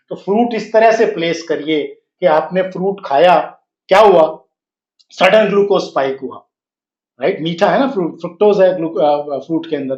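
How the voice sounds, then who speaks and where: A man with a fairly high voice gives health coaching in Hindi, slipping into English phrases and asking rhetorical questions along the way. The sound is roomy and slightly muffled, like a lo-fi recording in an echoey room. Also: darkish tone. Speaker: fitness and wellness coach. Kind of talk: health advice talk to camera. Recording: roomy echo, dull lo-fi sound.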